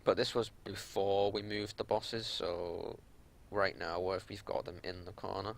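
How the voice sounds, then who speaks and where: A man giving unscripted gameplay commentary as a raid leader, explaining tactics in a matter-of-fact way over a home recording with some low rumbling noise. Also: steady tone, a little slow, vocal fry.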